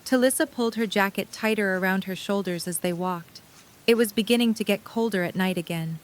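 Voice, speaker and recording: Woman narrating a story, mid-pitched. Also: a little fast, low-quality recording. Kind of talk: scripted audiobook-style story narration.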